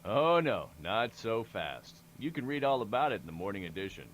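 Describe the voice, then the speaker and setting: An actor playing an excitable small-town newspaperman in a video game, chatty and teasing. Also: lowish voice, moderate pace, a little hiss or hum. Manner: chatty, teasing, secretive about his story. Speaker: game character.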